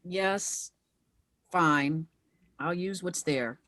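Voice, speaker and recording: A woman's voice: on the low side, extremely slow, a bit bright, no room sound. An actress reading the part of a determined widow in her fifties at a screenplay table read. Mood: resigned, wry.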